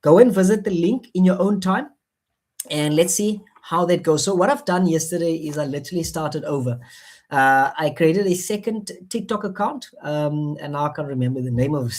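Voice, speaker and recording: A man with an Afrikaans accent talks straight to his live audience through a phone, selling an idea with steady encouragement. He circles back over the same phrases, stumbling through fillers and restarts as he coaxes viewers along. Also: on the high side. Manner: repetitive, coaxing, many fillers and restarts. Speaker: online marketing presenter. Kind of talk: motivational sales pitch on a live stream.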